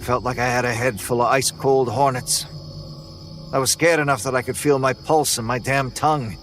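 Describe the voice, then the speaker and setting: A man's voice: medium pitch, steady tone, moderate pace, a bit bright. Man narrating a horror story over music. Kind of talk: first-person horror narration.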